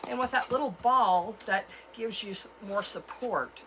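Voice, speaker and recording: A woman with a cold and a froggy, hoarse voice, chatting casually as she walks through a craft tutorial at home. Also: mid-range voice, very noisy. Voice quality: froggy, gravelly. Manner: chatty, unhurried, with fillers and self-corrections. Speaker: craft channel host.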